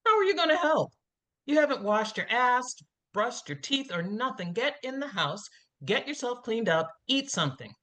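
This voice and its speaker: A woman's voice: fairly high pitch, big pitch swings, normal speed, fairly bright tone. A woman playing a blunt, bossy, worried mother in a screenplay reading. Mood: scolding. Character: scolding, worried mother of a troubled boy. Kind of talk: live staged screenplay reading.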